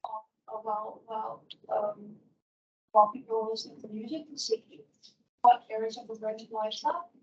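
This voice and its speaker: A woman's voice: fairly high pitch, fairly even intonation, unhurried, slight background noise. Woman chatting w lots of likes, far from the mic in an echoey room. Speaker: audience member. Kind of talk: casual discussion. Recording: roomy distant lo-fi audio.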